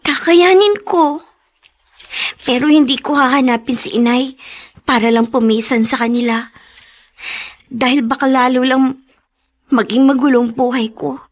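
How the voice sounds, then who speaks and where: Radio drama daughter: very high voice, plaintive, wounded, stubbornly resolute. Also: female voice, fairly even intonation, measured pace, pauses often. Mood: bitter, resolute. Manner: plaintive, emotional. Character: distressed daughter abandoned by parents, tearful but resolute. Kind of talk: Filipino radio drama acting.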